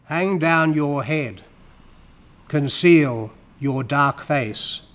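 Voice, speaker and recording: Man, slightly high pitch, dramatic poetry recitation, emphatic rhythm. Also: extremely slow, a bit bright. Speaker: poetry reader. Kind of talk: dramatic recitation of rhyming verse.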